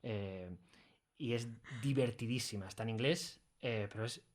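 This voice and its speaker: A man's voice: medium pitch, normal speed. A man with an accent from Spain chatting informally and enthusiastically as an interview guest. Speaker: audio fiction creator, interview guest. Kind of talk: casual chat.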